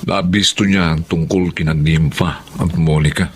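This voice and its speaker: A man's voice: low voice, fairly even intonation, normal speed, a little hiss or hum, natural voice. Actor as a cocky, glib womanizer. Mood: smug, casual. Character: vain, glib young womanizing lover. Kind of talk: Filipino radio drama acting.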